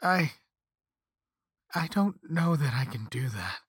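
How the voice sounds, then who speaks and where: A man's voice: fairly high pitch, expressive, slow pace, breathy edge, no room sound, studio quality, exaggerated voice. A man acting a desperate, angry cursed protagonist in a story, speaking in a heated, emphatic, strained way. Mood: defeated.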